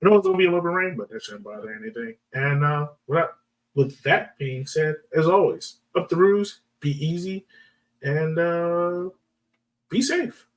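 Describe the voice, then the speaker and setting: A man's voice: on the high side, moderate pace, no room sound, low-quality recording. A man hosting a podcast, speaking in a casual sports-interview style.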